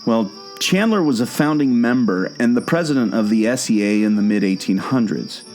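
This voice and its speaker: A man's voice: mid-range voice, expressive, moderate pace, a little mellow, soft background music, close to an everyday voice. A man playing a knowing, patient guide who tells park legends in a scripted audio drama. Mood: explanatory, dry. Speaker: storytelling guide.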